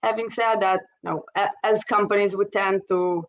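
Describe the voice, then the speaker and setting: A woman's voice: medium pitch, steady tone, normal speed, dull audio. A middle-aged woman with an Israeli accent, a guest speaking casually on a video call and often saying "you know". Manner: conversational, many "you know" fillers. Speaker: interview guest, investor. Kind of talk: casual webinar conversation.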